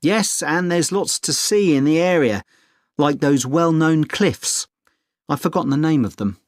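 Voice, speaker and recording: A man's voice: fairly high pitch, measured pace, no room sound, clean studio sound, natural voice. A man acting a friendly, experienced middle-aged surfer dad giving informative, encouraging advice in a scripted exam dialogue. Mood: helpful, reminiscing.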